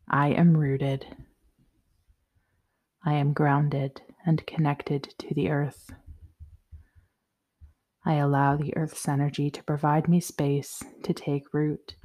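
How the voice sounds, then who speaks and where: A woman guiding a yoga nidra meditation in a soothing, measured, softly spoken way. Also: low-pitched. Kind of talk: guided meditation, slow instructions.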